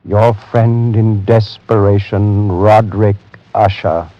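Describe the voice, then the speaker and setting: An actor playing an ailing, tormented aristocrat in 1940s radio drama, speaking in a formal, pleading manner. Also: male voice, lowish voice, fairly even intonation, slow. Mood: desperate, pleading. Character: ailing, tormented aristocrat reading out his desperate letter. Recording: muffled old radio recording, faint music.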